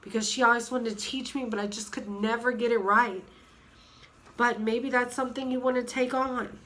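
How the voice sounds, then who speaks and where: A woman in her thirties with a cold, hoarse and sniffly, chatting casually in a rambling way with many fillers and restarts. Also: a little high, fairly even intonation, normal speed, fairly bright tone. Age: 30s. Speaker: vlogger. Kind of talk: casual chat.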